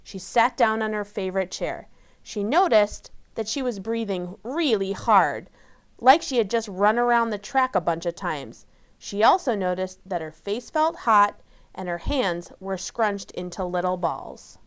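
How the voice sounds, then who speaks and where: Slow, clear-voiced woman storyteller. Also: mid-range voice, expressive. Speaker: storyteller reading to children. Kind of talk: children's read-aloud narration.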